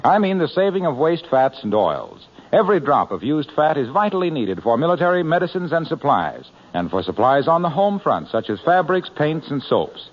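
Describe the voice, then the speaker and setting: A male announcer reading commercials and sign-offs for a 1940s radio show, in a smooth, persuasive manner. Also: a little high, normal speed. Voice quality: smooth, persuasive, lively delivery. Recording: muffled old radio broadcast.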